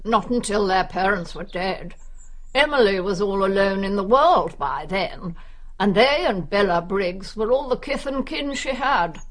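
A woman's voice: medium pitch, expressive, unhurried, close to an everyday voice, rough recording. An actress playing a nosy, brusque older English village woman in a radio drama, gossiping wryly. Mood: gossipy.

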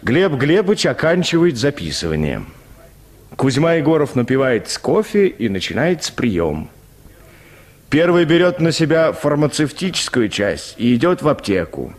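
Lively, expressive male narrator. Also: on the high side, normal speed. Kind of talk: literary narration in a radio play.

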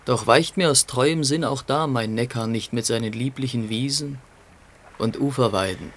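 Actor as cultured, mid-pitched literary gentleman. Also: normal speed, close to an everyday voice. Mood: lyrical. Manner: literary, polished phrasing. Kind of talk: German radio play acting.

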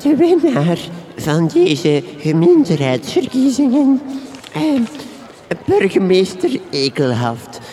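A woman playing an awkward, halting village official with a Flemish accent, making announcements in a comic radio drama. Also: mid-range voice, wildly swooping pitch, mellow. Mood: flustered. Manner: halting, flustered. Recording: crowd noise behind the voice.

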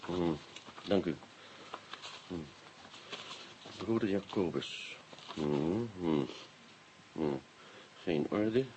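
An actor playing a shrewd police inspector in a radio play, asking clipped, politely insistent questions and muttering to himself. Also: male voice, low voice, expressive, drawn-out words, long pauses, exaggerated voice. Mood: musing, muttering. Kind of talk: Dutch radio drama acting. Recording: dull, noisy old radio recording.

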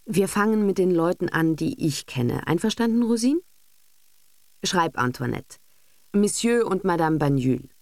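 A brisk, self-assured husband and father as a woman reader acts him, all business and cynicism in an audiobook. He is bossy and sardonic, with a creaky voice in a dry, close sound. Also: on the low side, expressive, no room sound, voice pushed up. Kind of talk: literary audiobook character acting.

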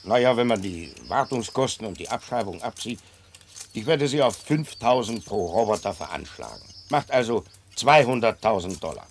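An actor playing a smug, officious tax inspector in a German radio drama, chatty and unctuous with false courtesy. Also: male voice, lowish voice, expressive, normal speed, a little hiss or hum.

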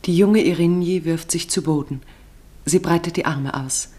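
A woman with a very low-pitched voice narrates a radio drama. She reads literary prose in a solemn style. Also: measured pace, mellow, slightly rough.

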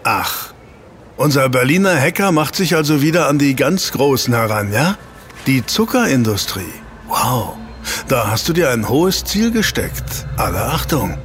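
An actor in a German audio drama playing a suave, jovial newspaper reporter with a chummy, teasing manner. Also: male voice, mid-range voice, big pitch swings, measured pace, fairly bright tone, faint music behind. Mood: teasing, impressed. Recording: clear ambient noise behind.

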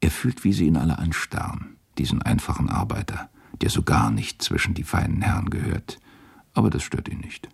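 A man narrating a radio drama from a script in a measured, sober manner. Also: a bass voice, sing-song, gravelly, slightly rough.

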